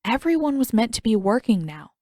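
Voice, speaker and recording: A woman's voice: fairly high pitch, sing-song, fairly quick, dark tone, dry recording, studio quality. A woman reading a fiction story aloud as a scripted audiobook narration.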